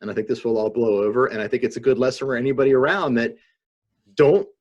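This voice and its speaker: A man with a husky voice joking deadpan in a casual comedic panel chat. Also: medium pitch, fast, muffled recording. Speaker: podcast panelist. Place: remote video call.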